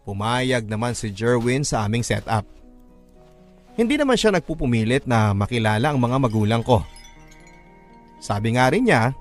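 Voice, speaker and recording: A man's voice: mid-range voice, animated, moderate pace, pauses often. A man with a Filipino accent reads a first-person story in an emotive, earnest, classic radio drama narration style. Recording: narration over background music. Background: background music.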